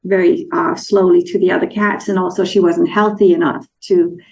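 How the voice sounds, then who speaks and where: Gravelly-voiced woman from Denmark. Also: fairly low pitch, little pitch movement, normal speed, low-quality recording. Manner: warm storytelling with restarts and searching for words. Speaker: guest author. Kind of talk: casual interview chat.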